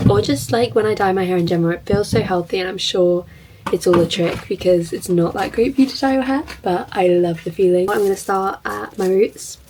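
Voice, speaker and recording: A young woman from the UK chatting casually to camera as a vlogger, her voice a little croaky from being ill, self-deprecating and thinking aloud as she works. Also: mid-range voice, distant mic, background noise. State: slightly croaky from illness. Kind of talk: casual vlog talk to camera. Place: home, in front of a mirror.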